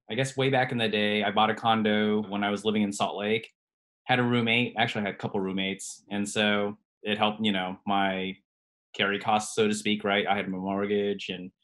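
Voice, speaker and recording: Lower-voiced man telling a relaxed story. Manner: relaxed storytelling, hedging with like and I guess. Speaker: guest, radiologist and private lender. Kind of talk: casual podcast interview.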